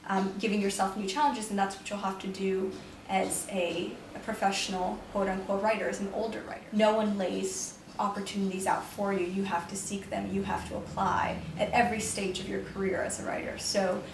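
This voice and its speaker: A young woman poet, raised in New York with Romanian as her first language, talks through her ideas slowly and reflectively. Her voice stays quite level in pitch. The room is echoey and she sounds a little far from the microphone, with faint noise behind. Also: flat delivery. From New York, United States. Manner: thoughtful, reflective, with frequent hedges and restarts. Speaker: poet, prize winner. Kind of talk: interview answers.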